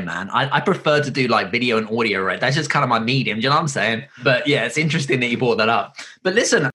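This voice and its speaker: Fast, bright-voiced podcast host. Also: male voice, medium pitch. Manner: laid-back, slangy. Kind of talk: casual podcast interview.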